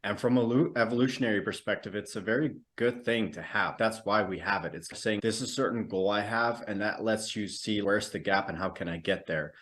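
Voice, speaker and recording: A man's voice: on the low side, brisk, hardly any pauses, a bit bright. A man from the Netherlands, a native Dutch speaker, giving reflective, explanatory answers as a podcast guest in conversation.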